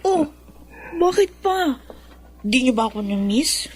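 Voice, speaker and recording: Sassy high-voiced young daughter. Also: female voice, sing-song, slow, exaggerated voice. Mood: clingy, playful. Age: young adult. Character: sassy, attention-hungry young woman, secret illegitimate daughter. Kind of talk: Filipino radio drama acting.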